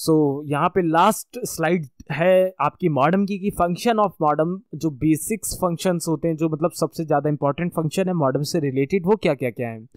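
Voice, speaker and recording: A man's voice: a little high, moderate pace, few pauses, darkish tone, dry recording. A man with an Indian accent giving an informal explanatory lecture as an instructor, often rephrasing his points and checking that the listener follows. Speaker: networking course instructor.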